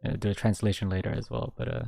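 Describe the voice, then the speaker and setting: A young man streaming a casual radio-style chat, reading out listener submissions, laughing often and reacting in an animated way. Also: fast, creaky, dry recording, faint music behind. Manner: animated, laughs often, jumps high when excited.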